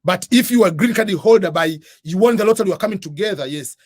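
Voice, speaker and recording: A man hosting a livestream and answering viewer questions in a relaxed, rambling way. His voice sits a bit high for a man. He keeps adding "whatever" as he talks, and the sound is very dry and close to the mic. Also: fast talker, dry recording. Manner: informal, peppered with "uh" and "whatever". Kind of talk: casual advice chat.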